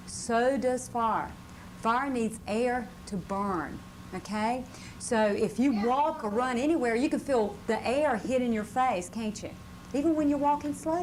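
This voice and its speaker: A woman presenting a fire safety lesson to children, patiently repeating points and checking with questions. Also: a little high. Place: live puppet show before children. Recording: noisy live room on VHS tape.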